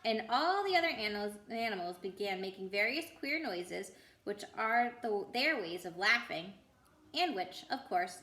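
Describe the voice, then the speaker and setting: A woman's voice: medium pitch, pushed and bright, reverberant. A woman reading a children's book aloud expressively as a storyteller, with the odd stumble.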